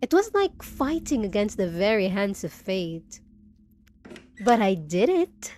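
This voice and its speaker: A woman's voice: medium pitch, big pitch swings, slow pace, dark tone, slight background noise. A woman playing a possessive, unhinged undead bride in a roleplay audio drama, cooing endearments with theatrical, sinister sweetness. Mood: devoted, intense.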